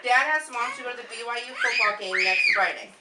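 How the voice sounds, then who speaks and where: A woman's voice: fairly high pitch, fast. An American woman reading a scripted story aloud to relatives as a puppet show narrator. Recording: echoey, noisy room recorded from far off.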